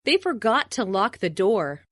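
A woman reading short phrases for language learners, repeating each one as a clear model. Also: medium pitch, sing-song, a little slow. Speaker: language lesson speaker.

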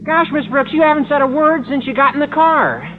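An actor playing an earnest, awkward, naive teenage schoolboy in a radio sitcom. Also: male voice, extremely high pitch, fairly quick, faint music behind. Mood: concerned, chatty. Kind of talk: 1950s radio sitcom acting. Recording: muffled old radio recording with noise.

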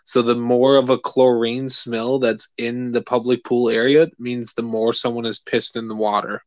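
Man, podcast co-host, rambling, animated, swears, dry close sound. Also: medium pitch, normal speed, dry recording. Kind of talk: casual chat.

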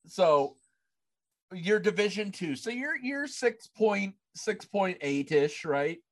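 A man's voice: high-pitched, sing-song, slow. A man hosting a casual gaming podcast, putting questions to his guest in a conversational style. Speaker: podcast host.

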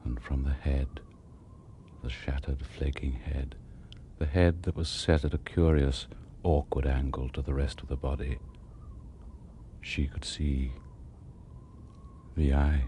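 An actor playing a sinister, wry British storyteller, narrating radio horror with deliberate dramatic pauses and dry relish. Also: male voice, very deep voice, steady tone, dark tone, slight background noise. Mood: macabre.

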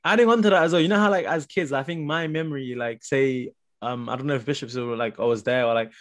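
This is a young man from Nepal in a casual panel conversation. He talks very quickly at an average male pitch. His phrasing is informal and slangy, peppered with "like" as he goes. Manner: informal, slangy, heavy use of "like". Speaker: podcast panelist. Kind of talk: casual group discussion.

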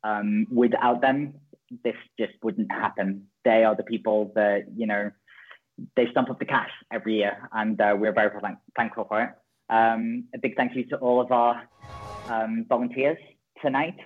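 A man's voice: medium pitch, fairly even intonation, normal speed. Playful man on a phone line. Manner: gushing, playful. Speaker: awards organizer. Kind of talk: interview chat.

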